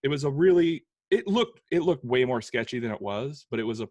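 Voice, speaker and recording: A man chats loosely as a podcast co-host, wandering through his thoughts and chuckling as he goes. He sounds wired on coffee, and his voice sits at an everyday pitch on a dry, close recording. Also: animated, brisk, a little mellow, no echo. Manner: rambling, chuckles between phrases. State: hopped up on coffee. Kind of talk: casual chat.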